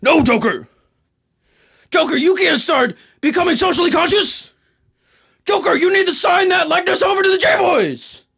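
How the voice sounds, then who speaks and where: A man's voice: very high-pitched, expressive, normal speed, creaky, pauses often, fairly bright tone, full character voice. A man voicing a harried, self-important superhero in a comedy parody, scolding and pleading in indignant exasperation.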